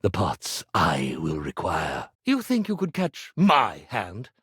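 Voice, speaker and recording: An actor playing a grandiose, melodramatic stage magician in a video game, with florid and pompous theatrical diction. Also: male voice, low-pitched, wildly swooping pitch, slow pace, a bit bright, husky, no room sound, studio quality, exaggerated voice. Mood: haughty, mysterious. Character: grandiose theatrical stage magician, living iron and ironing board. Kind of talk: video game character voice acting.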